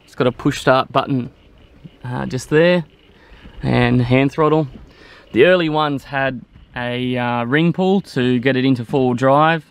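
A middle-aged man giving an unhurried, plain-spoken vlog walkaround, explaining things casually outdoors. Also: medium pitch, clear, resonant tone. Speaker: vlogger, owner of a vintage off-road vehicle. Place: outdoors in open grassy bushland. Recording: windy outdoor noise.

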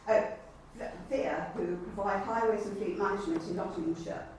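An English woman speaking formally and deliberately as a councillor at a meeting, often reading from documents. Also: mid-range voice. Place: indoor council meeting room. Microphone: distant camera microphone. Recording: very echoey room, distant mic, faint noise.

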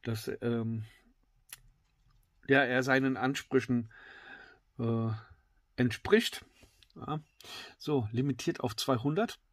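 A man's voice: medium pitch, slow pace, long pauses, dry recording. Chatty man with a stuffy nose. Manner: chatty with many fillers, drops to excited whispers. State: slightly congested from a cold. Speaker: knife reviewer. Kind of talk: casual tabletop product review. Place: indoors at a wooden table.

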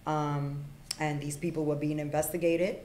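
A middle-aged woman born in Puerto Rico, a podcast guest telling her life story frankly, with plenty of ums and you-knows, on a desk boom mic in a small studio. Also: little pitch movement, normal speed, darkish tone, roomy sound, slight background noise. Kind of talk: candid life story in conversation. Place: small studio room with acoustic panels.

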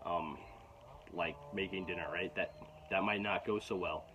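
A teenage boy rambling to his phone camera in a garden, frustrated and halting, with lots of restarts and fillers. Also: deep voice, steady tone, lo-fi sound, noisy background. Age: teens. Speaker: vlogger. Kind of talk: casual rambling vlog talk. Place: outdoors in a garden. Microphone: phone camera at arm's length.

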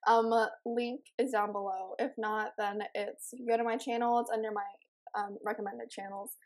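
A young woman with a slightly high, raspy voice gives relaxed let's-play commentary into a desk mic. She rambles, giggles and fills her pauses with ums. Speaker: gaming channel host.

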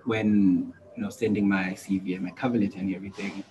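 A man's voice: deep voice, steady tone, quick pace, dark tone. A man in his twenties talking casually as a podcast co-host. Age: 20s. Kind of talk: casual conversational discussion. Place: indoor room, seated. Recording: slightly dull sound, faint background noise.

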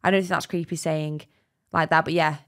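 A woman's voice: low voice, level tone, brisk. A woman in her thirties co-hosting a podcast in a small studio, chatting loosely and trailing off mid-thought. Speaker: podcast co-host. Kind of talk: casual chat. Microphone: studio mic on arm, headphones.

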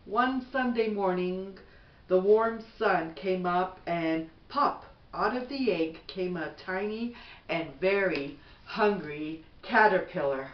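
A middle-aged woman reads a bedtime storybook at an unhurried pace. She is some distance from the camera mic in an echoey room. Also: fairly low pitch, lo-fi sound. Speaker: mother reading a bedtime story. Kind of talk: slow storybook read-aloud. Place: small bedroom at home, indoors. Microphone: camera a few feet away.

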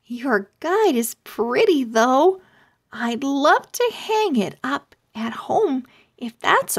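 A friendly young owl schoolgirl, voiced by a woman reader, speaks politely and gently in storybook style. The pitch is high and bouncy, the pace very unhurried. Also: full character voice.

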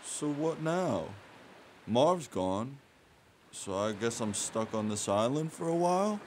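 A man's voice: mid-range voice, slow, a little hiss or hum. Man acting a naive, swooping-voiced crook sidekick. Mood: wistful. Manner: simple, earnest, excitable. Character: naive, good-hearted dim male crook sidekick. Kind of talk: audio theater acting.

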